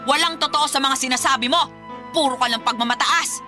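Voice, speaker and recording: Shrill, scolding Filipino mother, acted by a woman. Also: a little fast, music in the background, close to an everyday voice. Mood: angry, accusing. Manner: nagging, accusing, sharp-tongued. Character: scolding, domineering, suspicious middle-aged Filipino mother. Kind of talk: melodramatic radio drama acting.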